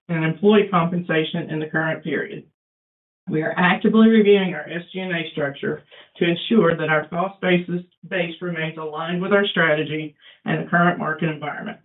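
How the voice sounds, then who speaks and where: A woman speaking as chief financial officer, reading scripted financial results in a formal, businesslike way. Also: lowish voice. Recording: thin conference phone line.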